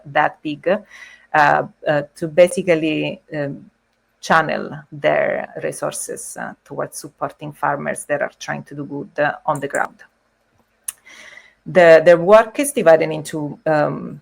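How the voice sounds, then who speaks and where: A woman with an Italian accent giving an informal online presentation, hesitantly, with frequent pauses. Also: deep voice, creaky. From Italy. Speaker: guest speaker, service designer. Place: indoors, on a video call. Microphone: video call microphone.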